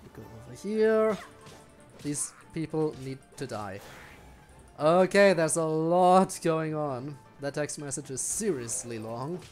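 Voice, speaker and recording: A man's voice: on the high side, lively intonation, slow, darkish tone, soft background music. A man streaming a video game with casual commentary and wry, muttered asides to himself. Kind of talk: casual live gameplay commentary.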